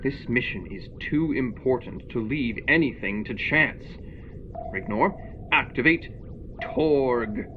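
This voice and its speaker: An actor playing a stern Martian spaceship commander in a comic audio drama, formal, authoritative and dryly deadpan. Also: male voice, medium pitch, slow, phone-quality sound, faint music behind, close to an everyday voice. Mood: resolute.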